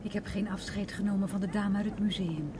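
An actress playing a hesitant, affectionate woman in a naturalistic radio drama, answering in short, halting phrases. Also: medium pitch, steady tone, lightly acted. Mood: hesitant, reflective. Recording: clearly noisy background.

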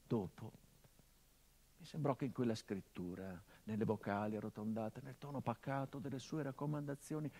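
Radio-drama monologue: a grieving son, Italian accent, middle pitch, brooding and low, with impassioned surges. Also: male voice, a little mellow, husky. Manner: intense, builds to impassioned outbursts then drops low. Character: grieving grown son of a murdered man.

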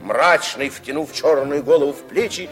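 Актёр в роли выразительного сказочника, голос высоковатый. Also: male voice, on the high side, normal speed, over music, close to an everyday voice. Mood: suspenseful. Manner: theatrical, expressive. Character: expressive, kindly storyteller of a children's fairytale. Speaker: narrator. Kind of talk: 1960s Soviet radio play narration.

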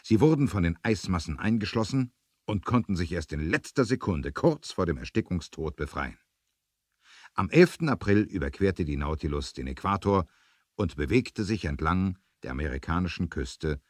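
Very deep male storyteller, husky, close. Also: very animated, dry recording. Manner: measured storytelling with dramatic emphasis. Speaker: narrator. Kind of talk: scripted 1970s audio drama narration.

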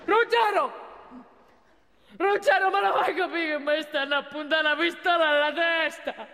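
A woman's voice: extremely high pitch, normal speed, bright, forceful tone, big-room sound, full character voice. A woman playing an older male Roman security guard in a comic stage monologue, colloquial, pleading and exasperated, with a Roman accent. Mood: exasperated, frightened.

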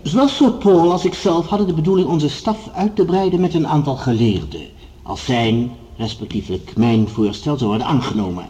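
An actor playing a commanding project leader, speaking formally and with measured authority in a radio drama. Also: male voice, a little high, warm tone, natural voice, low-quality recording. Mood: formal, rallying. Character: commanding male project leader addressing assembled scientists. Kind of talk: radio drama acting, formal speech-making.

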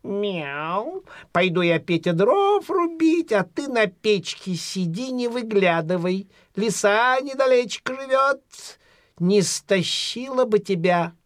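Slow-spoken, protective storybook cat. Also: female voice, mid-range voice, animated, no echo. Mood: cautioning. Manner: admonishing, instructive. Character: sensible protective male house cat, elder brother to rooster. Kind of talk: children's audio fairy-tale acting.

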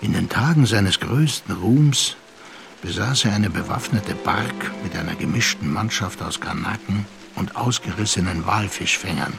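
An actor playing a composed, reflective storyteller, narrating a literary radio play in a measured, articulate way. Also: male voice, deep voice, gravelly, music in the background. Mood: wry storytelling. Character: reflective first-person storyteller of a seafaring tale, composed.

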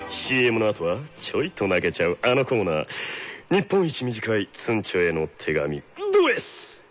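A man's voice: fairly low pitch, big pitch swings, moderate pace. A man playing a flamboyant, theatrical DJ who hosts an anime radio show with showy teasing. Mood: exuberant. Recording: loud music behind the voice.

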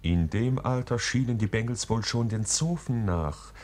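An actor playing an earthy, knowing storyteller in a theatrical 1940s radio play. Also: male voice, medium pitch, unhurried, noisy background, natural voice. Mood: knowing, teasing.

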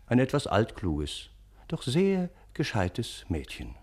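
An actor playing a respectable, courteous gentleman who narrates a German radio play as a family friend in measured speech. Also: medium pitch, wildly swooping pitch, husky, heavily acted. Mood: genial storytelling.

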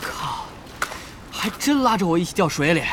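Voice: mid-range voice, sing-song, normal speed, noisy background, natural voice. An actor playing an irritable, combative young online gamer in a Chinese audio drama, brash and exasperated. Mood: irritated. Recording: loud music behind the voice.

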